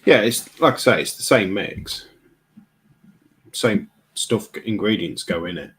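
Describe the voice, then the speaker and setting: A man's voice: fairly low pitch, animated, a little slow, a bit bright, slightly rough. A man, co-hosting a livestream with jokey, teasing banter. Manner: joking, teasing. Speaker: livestream co-host. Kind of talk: casual banter.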